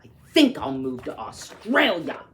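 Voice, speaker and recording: A woman's voice: fairly high pitch, huge pitch swings, measured pace, gravelly, a bit bright, voice pushed up, full character voice. A woman voicing a grumpy, aggrieved young boy who narrates his bad day in a storybook, with emphatic complaining. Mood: glum, exasperated.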